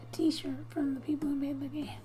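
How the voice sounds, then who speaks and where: A woman narrating a game in soft-spoken ASMR style, often dropping into a breathy whisper, reading gently like a storybook with quiet asides. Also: high-pitched, flat delivery, brisk, faint music behind. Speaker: gaming ASMR video host.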